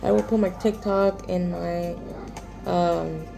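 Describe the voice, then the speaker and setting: A woman in her twenties hosting a casual crochet Q&A vlog, talking in a halting, rambling way with lots of fillers and restarts. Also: fairly low pitch, fairly even intonation, gravelly, over music. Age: 20s. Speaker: crochet vlogger.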